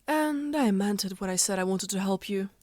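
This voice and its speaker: A woman voicing a calm, cryptic dream spirit in an animated comic dub, speaking in a measured, lightly teasing manner. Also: mid-range voice, no room sound, clean studio sound, close to an everyday voice. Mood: calm, coy.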